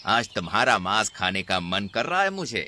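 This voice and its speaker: A man's voice: fairly low pitch, very animated, normal speed, pushed and bright, slight background noise, heavily acted. A man voicing a cunning, menacing villain wolf in a children's cartoon, boastful and sly in delivery. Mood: menacing, gloating. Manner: exaggerated, sly, threatening delivery. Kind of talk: Hindi children's cartoon voice acting.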